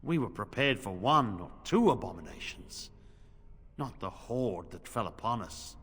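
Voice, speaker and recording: A man's voice: lowish voice, lively intonation, measured pace, a bit bright, breathy edge, full character voice. A man voicing a grave, beleaguered knight commander in a fantasy video game, speaking formally and solemnly. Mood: grim, defensive. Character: grave, beleaguered male templar commander, resolute and grim. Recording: reverberant game audio with music.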